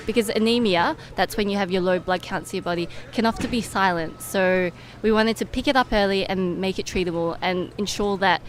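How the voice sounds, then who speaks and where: A young woman giving informal, explanatory answers as a guest in an interview. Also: mid-range voice. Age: young adult. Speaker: interview guest, medical student and competition winner. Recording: clear background noise and ambience.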